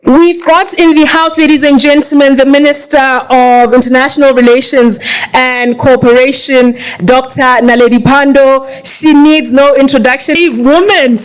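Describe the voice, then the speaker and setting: A woman's voice: sits high, fairly even intonation, moderate pace, slight background noise, low-quality recording. A young South African woman with a South African accent giving a rousing conference speech, urging the audience to act. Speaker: youth agency speaker.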